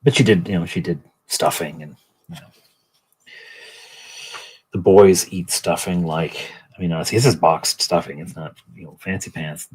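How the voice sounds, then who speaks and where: A man with a deep voice, low in pitch and husky. He chats casually on a livestream and often drops into quiet mutters and whispered asides as he works. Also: normal speed, long pauses, low-quality recording. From Canada. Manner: soft, muttering to himself, drifting between quiet asides and chat. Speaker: livestream host painting miniatures. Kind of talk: casual chat while painting.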